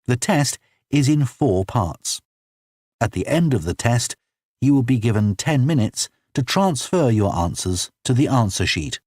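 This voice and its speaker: A man reading scripted exam instructions in a formal, measured announcing manner. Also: medium pitch, expressive, a little mellow, dry recording, studio quality. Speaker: exam narrator.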